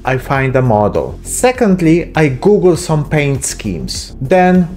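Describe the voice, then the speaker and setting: A man's voice: on the high side, wildly swooping pitch, slow pace, darkish tone. Chatty man in his thirties over background music. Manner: self-deprecating, chatty. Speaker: host of miniature painting channel. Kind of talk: casual narrated hobby tutorial. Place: small indoor hobby room. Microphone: close desk microphone with pop filter.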